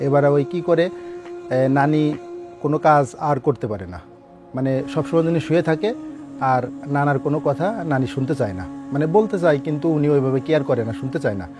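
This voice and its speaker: A man with a Bangladeshi accent telling a ghost story informally, in a halting, colloquial, repetitive way. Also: a little high. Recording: voice over prominent background music. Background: music.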